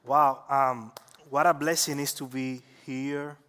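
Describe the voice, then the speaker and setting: A man with a Dominican Spanish accent, a native Spanish speaker, giving an earnest, measured personal testimony as a guest speaker in church. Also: mid-range voice, level tone, pushed and bright, a little hiss or hum. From Dominican Republic. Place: large church sanctuary. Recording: echoey church hall with long reverb.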